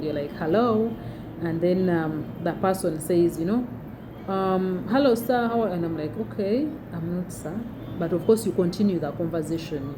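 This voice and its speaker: A young adult woman with a big, deep voice tells a personal story to her phone camera in a chatty way with many fillers. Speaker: vlogger. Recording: noticeable background noise.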